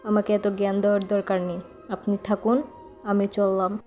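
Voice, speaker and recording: A stubborn, doting older brother in a cartoon, voiced by a woman. The voice is mellow and fairly flat in pitch, with faint music behind the plain delivery. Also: moderate pace, natural voice. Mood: annoyed.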